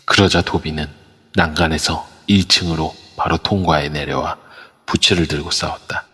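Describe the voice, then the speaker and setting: A man narrating an amateur audio drama in a steady storytelling manner. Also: very deep voice, normal speed, husky. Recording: long reverb over a voice app.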